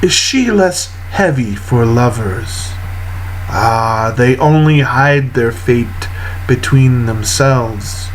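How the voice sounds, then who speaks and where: A man reading poetry aloud in a solemn, literary style, with deliberate, measured phrasing and frequent pauses. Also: medium pitch, slight background noise, poor audio. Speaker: poetry reader.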